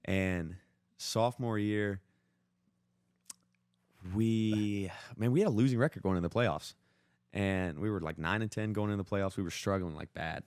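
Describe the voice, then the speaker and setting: A young man casually telling sports stories among friends as a podcast co-host, in an informal, slangy, halting way. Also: lowish voice, darkish tone, clear, resonant tone, no echo, clean studio sound. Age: young adult.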